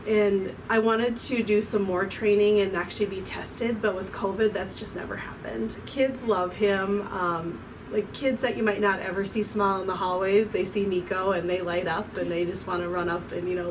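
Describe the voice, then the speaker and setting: A middle-aged woman talks about her dog in a loose, rambling way with plenty of fillers. She sits away from the mic. Steady background noise runs under her voice. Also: medium pitch, moderate pace, distant mic. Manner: warm-hearted, rambling with fillers. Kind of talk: interview answers.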